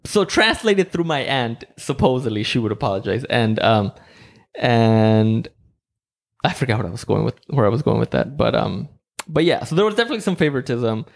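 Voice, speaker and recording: A man from Mexico hosting a podcast, chatting casually and rambling with many "like"s and animated quoted voices. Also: mid-range voice, moderate pace, creaky. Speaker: podcast host. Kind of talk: casual chat.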